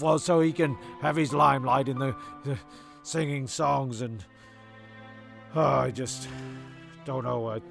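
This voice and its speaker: A man acting a grumpy radio play narrator with a creaky, mid-pitched voice. He swears freely, feels hard done by and stammers through his indignant rants. Faint music sits behind him. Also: fairly bright tone. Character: cranky, foul-mouthed, self-pitying radio play narrator, a grown man.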